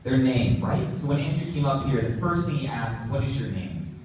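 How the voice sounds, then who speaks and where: A man's voice: mid-range voice, expressive, fairly quick, darkish tone, slight background noise. A young man in his twenties from California, giving a casual talk to middle schoolers with many fillers and restarts. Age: 20s. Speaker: guest speaker. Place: large echoey school room. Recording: echoey room from far off.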